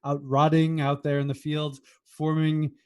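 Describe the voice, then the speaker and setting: A man's voice: a little high, flat delivery, measured pace, darkish tone, clear, resonant tone. An American man co-hosting a podcast in casual chat, with a wry, teasing manner. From United States. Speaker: podcast co-host.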